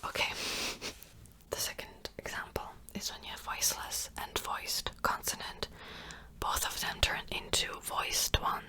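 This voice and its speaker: A woman whispering softly and close to the mic as she gives a gentle language lesson, slowly repeating words. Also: poor audio. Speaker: language teacher.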